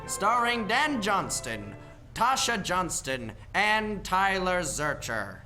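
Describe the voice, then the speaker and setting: Guy doing an old-time radio announcer, grand and slow, lively swings, music behind. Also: high voice, exaggerated voice. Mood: grand announcing. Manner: drawn-out, grand. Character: showy 1940s radio announcer. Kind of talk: 1940s radio show introduction.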